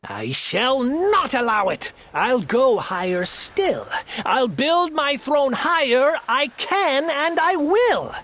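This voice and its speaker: Brief: woman playing a bragging storybook turtle king, blustering, slow and lofty delivery. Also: high voice, big pitch swings, a bit bright, exaggerated voice. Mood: indignant. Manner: blustering, proud. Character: pompous boastful male turtle king.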